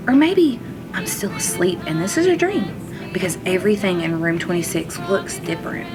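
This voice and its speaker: Expressive woman reading a storybook over music. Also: medium pitch. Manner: expressive, with drawn-out words for emphasis. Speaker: kindergarten teacher reading aloud. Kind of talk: children's storybook read-aloud, first-person narration. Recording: music under the voice. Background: background music.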